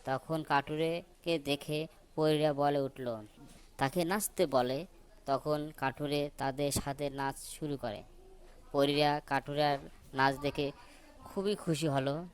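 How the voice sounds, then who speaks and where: A man's voice: fairly high pitch, fairly even intonation, slow pace, clean tone. A man narrating a children's folk tale for a cartoon. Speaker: story narrator.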